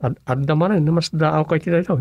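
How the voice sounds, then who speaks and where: An actor playing an earnest, insistent teller of absurd tall tales in a comic Ilocano radio drama, with an Ilocano accent. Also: male voice, fairly high pitch, steady tone, brisk, darkish tone, dry recording, highs cut off. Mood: earnest boasting.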